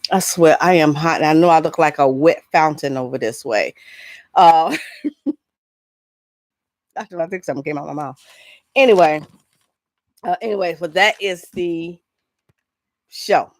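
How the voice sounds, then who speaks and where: A woman hosting a casual entertainment vlog, talking in a rambling, halting, informal way. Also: low voice, dry recording. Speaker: vlog host. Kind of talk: casual chat, entertainment gossip.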